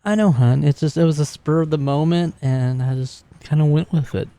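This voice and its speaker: A man's voice: on the high side, fairly quick, mellow, dry recording, close to an everyday voice. A man playing a sheepish, affectionate young woman on the phone, hesitant, evasive and sweet in manner. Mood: affectionate, reassuring.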